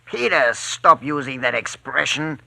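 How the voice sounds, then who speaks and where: Domineering crime boss, sharp and gravelly. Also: male voice, medium pitch, lively intonation, measured pace, full character voice. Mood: commanding. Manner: clipped, commanding. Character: stern, suspicious crime boss, curt and domineering. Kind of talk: 1940s radio acting.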